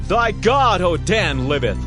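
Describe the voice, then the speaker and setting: Idol worshipper, declamatory and fervent, high pitch, music underneath, scripture drama. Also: male voice, sing-song, unhurried.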